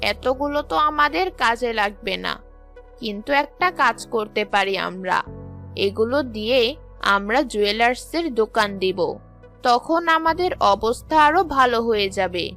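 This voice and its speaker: Cartoon mother, voiced by a woman: practical and measured, slow delivery, advising her child over background music. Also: on the high side, animated, a bit bright. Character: practical, sensible village mother in a moral story.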